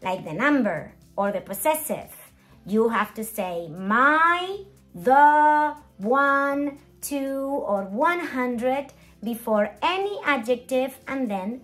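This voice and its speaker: A middle-aged woman presenting an English grammar lesson as a teacher, expressive and emphatic, with deliberate enunciation and rhetorical questions. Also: sits high, faint music behind. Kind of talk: animated scripted grammar lesson. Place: small indoor room at a whiteboard.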